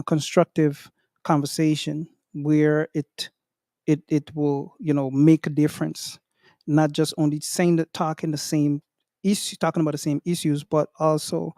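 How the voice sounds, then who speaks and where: A man hosting an online community meeting, talking slowly with many pauses and ums. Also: fairly high pitch, vocal fry, mellow, no room sound, clean studio sound. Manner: slow, hesitant, many ums. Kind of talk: casual online chat.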